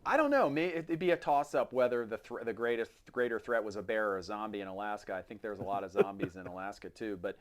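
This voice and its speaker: A man's voice: on the low side, expressive, fast talker, few pauses. A man co-hosting a podcast with casual banter, often repeating words as he gets phrases started. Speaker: podcast co-host.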